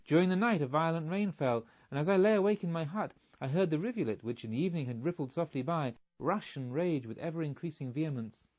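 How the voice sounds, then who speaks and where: A man's voice: on the high side, lively intonation, fast, hardly any pauses, a little mellow. A man reading a storybook, voicing an anxious, loving male pilgrim in earnest, formal, emphatic speech on a slightly muffled recording. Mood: wondering, recalling. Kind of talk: audiobook storytelling.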